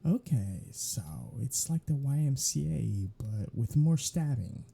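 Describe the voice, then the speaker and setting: Sly flirtatious male vampire played by a man for ASMR roleplay: very dark, breathy voice, sardonic teasing drawl. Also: medium pitch, lively intonation, a little slow, exaggerated voice. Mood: dry, sardonic. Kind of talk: ASMR roleplay audio drama.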